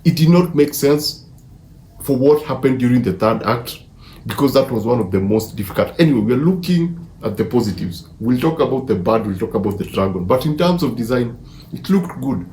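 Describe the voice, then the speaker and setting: A man's voice: medium pitch, animated, normal speed, mellow. Man reviewing films, echoey room. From Africa. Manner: enthusiastic, emphatic, repeats words mid-sentence. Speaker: film reviewer. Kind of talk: casual movie review. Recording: echoey room, faint background noise.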